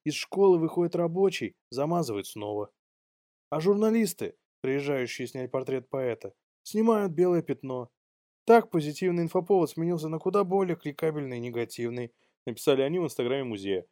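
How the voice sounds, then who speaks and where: A man's voice: on the high side, animated, fairly quick, dark tone, dry recording, clean studio sound. A man co-hosting a comedy news show, mixing read-out items with playful, irreverent, slangy commentary. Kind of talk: news read-outs mixed with casual joking commentary.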